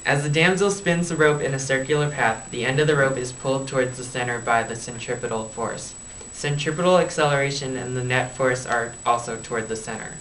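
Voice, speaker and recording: A man's voice: mid-range voice, brisk, a bit bright, echoey room, far from the mic. A man giving scripted educational narration as a physics explainer, recorded with amateur, noisy sound.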